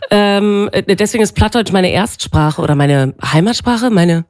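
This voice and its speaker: A woman's voice: mid-range voice, animated, normal speed, darkish tone, dry recording, studio quality. A woman, a guest chatting casually and poking fun at herself. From East Frisia, northern Germany. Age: 40s. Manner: chatty, self-mocking, laughs often. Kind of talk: casual radio chat.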